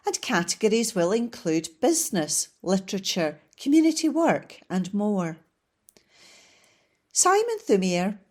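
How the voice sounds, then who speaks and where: Female volunteer reader, slow steady delivery, mid-pitched, newspaper articles. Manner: steady, measured reading. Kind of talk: reading newspaper articles aloud.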